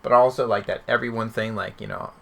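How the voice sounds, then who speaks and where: A man's voice: fairly low pitch, fairly even intonation, fast, creaky. A man hosting a podcast in casual chat, rambling and questioning himself as he talks. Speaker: podcast host.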